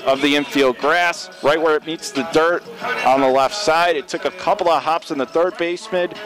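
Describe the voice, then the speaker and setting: A man's voice: on the high side, fairly even intonation, moderate pace. A man calling a baseball game live as a radio play-by-play commentator. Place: open-air baseball stadium. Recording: clear outdoor ballpark ambient noise.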